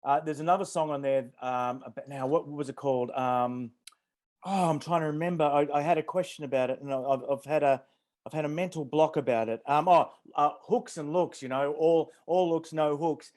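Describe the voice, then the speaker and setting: A man's voice: on the high side, fairly even intonation, fairly quick, darkish tone. A man hosting an interview, asking long rambling questions full of ums and restarts. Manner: hesitant, many ums and restarts, chuckles. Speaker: interview host.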